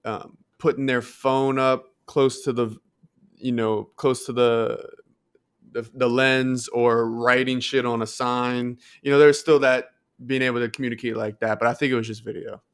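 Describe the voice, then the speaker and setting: Clear, smooth-voiced male podcast co-host. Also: medium pitch, flat delivery, normal speed. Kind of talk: casual chat.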